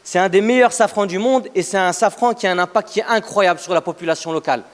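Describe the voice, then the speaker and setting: High-voiced man pitching in an echoey hall. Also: fast. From Afghanistan. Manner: emphatic, repeats phrases for effect. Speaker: startup co-founder pitching on stage. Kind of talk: motivational stage pitch, storytelling. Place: large event hall, on stage. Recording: echoey hall with long reverb.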